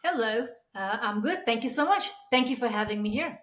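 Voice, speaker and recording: A woman's voice: a little high, animated, a little fast, fairly bright tone. A woman from Nepal with a Nepali accent, explaining as an expert guest in an interview. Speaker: periodontist guest. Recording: roomy, echoey sound.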